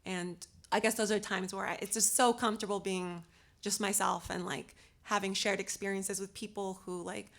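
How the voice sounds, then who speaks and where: A woman's voice: mid-range voice, flat delivery, normal speed, vocal fry, fairly bright tone. A young woman from Los Angeles speaking on a panel, hesitant, with many fillers and restarts. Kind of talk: casual panel discussion.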